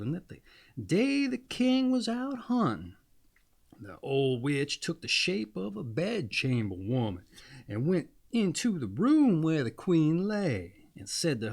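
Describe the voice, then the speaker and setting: Male fairy-tale narrator: dramatic, widely varied intonation, unhurried pace, mid-pitched. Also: creaky. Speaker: storyteller.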